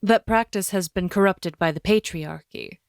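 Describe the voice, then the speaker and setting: A woman's voice: medium pitch, gravelly, darkish tone, no echo, close to an everyday voice. Woman acting a stern coven leader, close dry sound. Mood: authoritative. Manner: measured, authoritative, lecturing. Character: stern, formal female coven leader, protective and wise. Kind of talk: audio drama acting.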